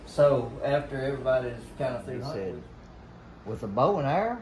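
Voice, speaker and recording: A man's voice: mid-range voice, very animated, a little fast, a crowd in the background. An older man with a Southern US accent telling stories casually in a folksy, emphatic way, quoting old conversations as he goes. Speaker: guest telling hunting stories. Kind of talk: casual storytelling. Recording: noisy, roomy, somewhat distant pickup.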